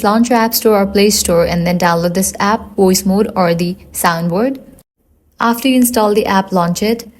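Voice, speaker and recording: A woman's voice: medium pitch, a little mellow. A woman reading scripted tutorial narration in an instructional, step-by-step manner.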